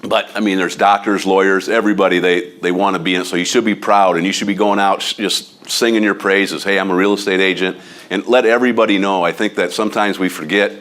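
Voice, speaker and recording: A man with a Midwestern American accent giving a casual training talk to real estate agents, loud, blunt and a bit overbearing. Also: deep voice, steady tone, fast talker. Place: office meeting room.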